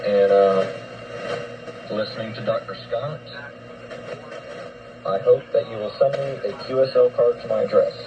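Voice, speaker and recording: A man's voice: fairly low pitch, measured pace, gravelly, pauses often, highs cut off. A man co-hosting a radio show, chatting informally and a little hesitantly. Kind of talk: casual radio chat. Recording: noisy shortwave radio broadcast.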